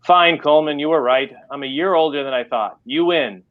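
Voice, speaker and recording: A man's voice: fairly high pitch, brisk, close to an everyday voice. A very old, irritable tycoon played by a man reading aloud in a science fiction story. He is stubborn and grumbles petulantly. The recording is slightly dull. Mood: defensive.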